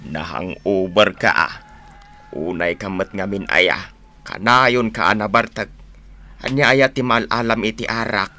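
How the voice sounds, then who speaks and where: A stern older father in a radio drama. His voice is fairly low but hard and bright, with a chiding, forceful delivery. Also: measured pace. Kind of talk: Ilocano radio drama acting.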